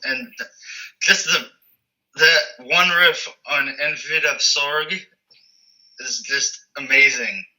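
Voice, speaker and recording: A man's voice: fairly high pitch, slow pace, pauses often, pushed and bright, rough recording. A man as guest in a casual interview, easygoing and stammering on the starts of sentences. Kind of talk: casual chat.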